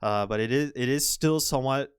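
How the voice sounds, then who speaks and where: A young man commenting on a Go match, chatty and rambling, his voice a little hoarse from overuse. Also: medium pitch, a little fast, no room sound, studio quality. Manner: chatty, rambling, frequent restarts and fillers. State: slightly hoarse, recovering voice. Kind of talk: casual game commentary.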